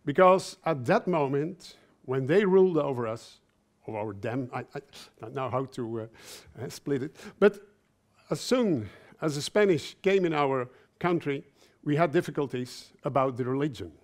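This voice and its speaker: A man raised in Belgium, speaking English as an in a conference talk, slow and halting, often repeating words and dropping joking asides. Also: medium pitch, animated.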